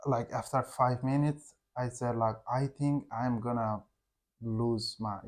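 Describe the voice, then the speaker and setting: A young man speaks English as an in a relaxed chat with his wife, at an ordinary, mid-range pitch. He pauses often and circles back to find his words, filling the gaps with "like". He sounds like a teacher talking informally to his learners. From Afghanistan. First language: Hazaragi. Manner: halting, searching for words, frequent "like". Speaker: English teacher and channel co-host.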